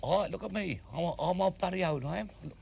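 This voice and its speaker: A man's voice: high voice, fast, vocal fry, darkish tone, slightly rough, heavily acted. A man playing an aggrieved old working-class Londoner who rants and repeats himself in a London accent, as improvised dialogue in an amateur film. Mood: bitter. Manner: ranting, repetitive, mild swearing. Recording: noisy, clicking old 1970s film soundtrack.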